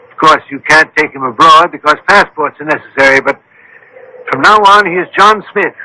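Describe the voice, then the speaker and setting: Radio drama, scientist-detective, higher-set voice, piercingly forceful, authoritative, formal, precise. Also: male voice, moderate pace, low-quality recording. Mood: commanding. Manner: authoritative, formal, giving crisp orders. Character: commanding, precise scientist-detective, a brilliant thinking machine. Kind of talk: 1970s-80s radio drama acting.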